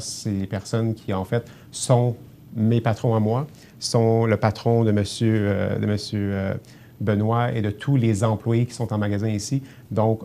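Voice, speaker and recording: A middle-aged man from Abitibi with a Québécois accent, answering questions as a store manager in an earnest, halting way with many fillers. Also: on the low side, warm tone, a little hiss or hum. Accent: Québécois French. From Abitibi, Quebec. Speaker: grocery store general manager, guest. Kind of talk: casual TV interview.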